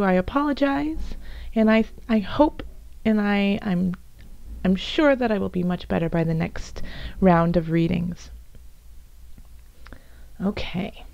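Casting: female tarot reader, raspy and hoarse, gravelly edge, mellow tone, gentle unhurried address, dull home recording. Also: medium pitch, no room sound. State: hoarse from bronchitis, voice coming and going. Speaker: tarot reader and channel host. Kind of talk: soft spoken tarot card reading to viewers.

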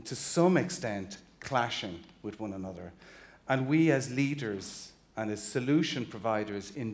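A man in his fifties with an Irish accent, giving an earnest, emphatic conference keynote on a large convention hall stage, walking as he talks and often restarting phrases. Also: lowish voice. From Ireland. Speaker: chief executive giving a keynote. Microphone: stage mic, walking the stage with a slide clicker.

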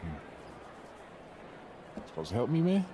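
An actor playing a self-doubting young basketball prospect in a video game cutscene, talking casually and hesitantly. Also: male voice, medium pitch, big pitch swings, measured pace. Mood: tense. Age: young adult. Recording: clear ambient noise behind dialogue.

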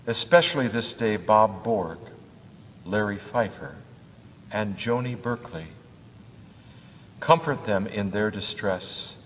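An older man, a pastor, leading prayers in a church in a slow, measured liturgical cadence. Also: mid-range voice, a little hiss or hum. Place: church sanctuary at a lectern. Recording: church hall with long reverb.

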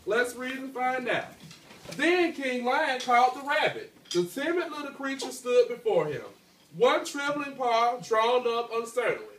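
A man's voice: extremely high pitch, lively intonation, measured pace, a bit bright, distant mic. A young male schoolteacher reads a story to his class and stops to question them with frequent "okay" and "right?". He is recorded from across an echoey classroom with some noise behind him. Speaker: elementary school teacher reading aloud to class. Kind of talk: storybook read-aloud with questions to pupils. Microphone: handheld phone among the pupils, several metres away.

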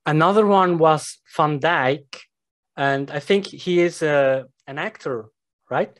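A man's voice: on the high side, slow. A man in his thirties hosting a podcast interview over a video call with a headset, asking careful questions. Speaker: podcast host.

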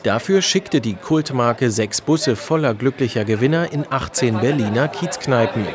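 A male narrator delivers a scripted promotional TV report. His voice sits at a medium pitch, with a clear layer of crowd sound behind it. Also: expressive, moderate pace.

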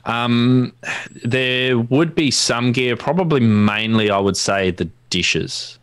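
A man's voice: medium pitch, takes it slowly, fairly bright tone, smooth, no room sound. An Australian man in his thirties explaining technical things casually as a guest expert on a livestream, close on a studio mic. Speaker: guest ham radio expert. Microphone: close studio mic on boom arm, with headphones.

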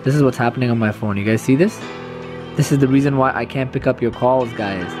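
A young man talking excitedly to a handheld camera in his living room while answering fan calls. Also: medium pitch, over loud music. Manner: excited, fast-talking, chatty. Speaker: vlogger. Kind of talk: casual vlog talk to camera. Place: living room of a house, indoors. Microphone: handheld camera at arm's length.

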